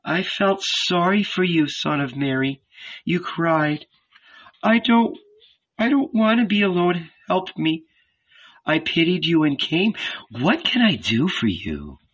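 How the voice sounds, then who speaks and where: A man's voice: fairly high pitch, sing-song, slow pace, fairly bright tone, slightly rough, lo-fi sound, pitched above the performer's own voice, exaggerated voice. A man voicing a sweet, wheedling female serpent demon.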